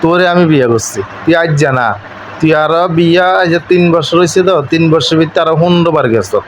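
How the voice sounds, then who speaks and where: Insistent high-pitched man, eastern Bengali dialect. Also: brisk. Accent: eastern Bengali regional dialect. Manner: insistent, repetitive, domineering lecturing. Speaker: husband on a call with his wife. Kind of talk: informal domestic argument on a phone call. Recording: muffled call recording with faint noise.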